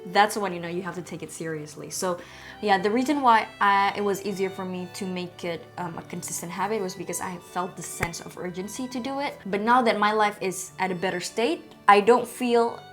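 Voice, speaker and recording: A woman's voice: medium pitch, fairly quick, soft background music. A woman speaking casually and candidly to her viewers, explaining things as a language-learning vlogger. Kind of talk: casual instructional talk to camera.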